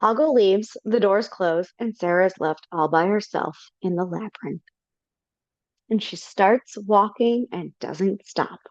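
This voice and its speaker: A woman's voice: mid-range voice, a little slow, no room sound. A woman reading the narration in a casual, stumbling way over a video call. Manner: casual, stumbling, laughs between lines. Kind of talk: reading stage directions in a live reading. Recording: dull lo-fi call audio.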